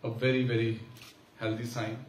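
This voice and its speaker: A man with an Indian English accent giving a formal, measured speech at a conference, pausing with fillers. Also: mid-range voice, little pitch movement. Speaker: senior official giving keynote. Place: large conference hall. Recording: echoey hall from far off, faint hiss.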